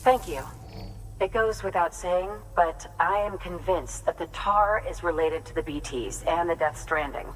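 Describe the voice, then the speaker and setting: Scholarly female biologist for a game, played by a woman; low-pitched, precise, explanatory. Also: unhurried, slight background noise, lightly acted, poor audio. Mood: earnest. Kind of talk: video game cutscene dialogue.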